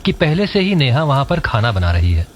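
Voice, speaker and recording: A man's voice: medium pitch, very animated, a little fast. A man reading scripted narration for a cartoon family story.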